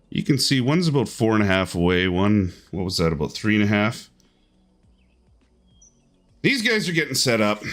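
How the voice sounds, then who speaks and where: A man's voice: on the low side, fairly bright tone. A man hosting and commentating a live online golf game tournament in upbeat play-by-play.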